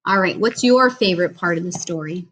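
A woman's voice: lowish voice, a little fast, fairly bright tone, breathy edge, dull audio. A woman in her forties, a speech teacher, reading a picture book aloud to a young child in a home kitchen and asking him questions in a patient, teacherly way, picked up by a webcam across the table. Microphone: webcam at table distance.

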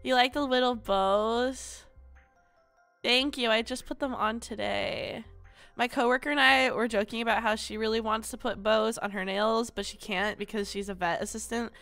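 A young woman streaming casually, with a stuffy nose from allergies, loud and prone to sudden screaming. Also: fairly high pitch, fairly even intonation, normal speed, faint music behind. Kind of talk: casual livestream chat.

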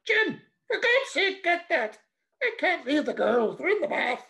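Bossy young mum, man doing the voice, high and loud. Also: very animated, a little slow, gravelly, heavily acted. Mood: shouting. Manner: shouting from another room. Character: bustling young mother, bossy and bright.

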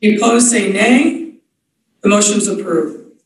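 A woman's voice: medium pitch, normal speed, slight background noise. A woman chairing a formal board meeting in a procedural manner, with frequent fillers, in a large wood-panelled office. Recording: echoey room from far off.

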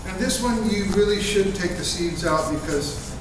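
Echoey, distant man, slightly high-voiced. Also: animated, normal speed, over music. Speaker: chef giving a cooking class. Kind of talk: casual cooking demonstration chat. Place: hotel room set up for a cooking demonstration. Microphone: camera several meters away. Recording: roomy, distant mic, loud background noise.

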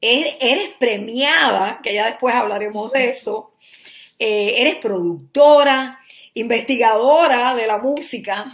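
A woman's voice: on the high side, takes it slowly. Effusive woman hosting an interview. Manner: effusive, many "eh" fillers. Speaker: talk show host. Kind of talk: friendly interview.

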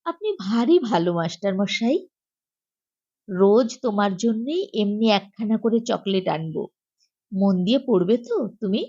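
A woman's voice: mid-range voice, lively intonation, a little slow, dark tone, slightly rough, highs cut off. A woman playing an ingratiating male private tutor, coaxing and falsely sweet, in a comic audio story.

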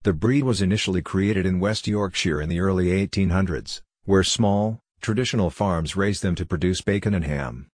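A man narrating a scripted farming guide in a steady, even reading voice. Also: low voice, moderate pace, hardly any pauses, dry recording, clean studio sound.

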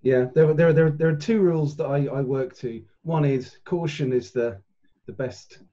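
A man's voice: a little high, normal speed, dark tone, muffled recording. A man talking casually as a player in an online tabletop game, recorded over a group call.

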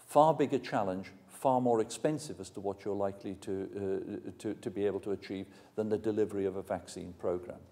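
A man's voice: lowish voice, a little fast, few pauses, warm tone. A man in his sixties from Wales, giving a frank, plain-spoken public lecture and answering questions in a large hall. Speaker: university lecturer. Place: large ornate hall, indoors.

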